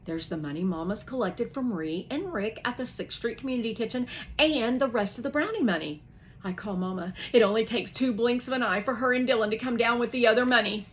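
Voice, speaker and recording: A woman reading a children's novel aloud with lively expression, pausing to explain words to young listeners. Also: on the high side, slight background noise. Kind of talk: expressive read-aloud for kids.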